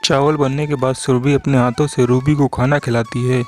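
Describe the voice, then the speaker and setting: A man's voice: mid-range voice, little pitch movement, moderate pace, darkish tone. Man narrating a storybook cartoon over music.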